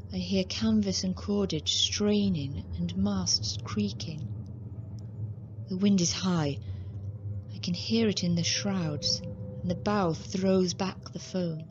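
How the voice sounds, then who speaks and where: An actress in a radio drama playing a frail, devoted wife who speaks earnestly, as if half in a trance. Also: female voice, mid-range voice, natural voice. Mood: entranced. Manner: slow, trancelike, earnest, often hushed. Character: Mina, frail, entranced, devoted young wife. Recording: muffled sound, clear ambient noise.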